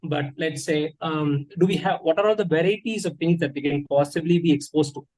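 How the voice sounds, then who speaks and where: A man's voice: fairly high pitch, a little fast, darkish tone, low-quality recording. A father asking questions hesitantly, with many fillers and restarts. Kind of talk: casual question.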